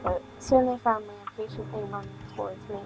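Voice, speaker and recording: A woman streaming a visual novel, reading the text aloud with halting delivery and casual commentary. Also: mid-range voice, steady tone, low-quality recording. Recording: music playing behind the voice. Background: music.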